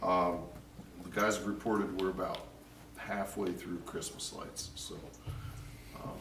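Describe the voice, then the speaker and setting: A man with a very deep voice gives a casual, halting department report, full of ums and uhs. He sits back from the mic in an echoing chamber, and background noise carries clearly. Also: distant mic. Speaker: city service department director. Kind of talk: department report at a council meeting.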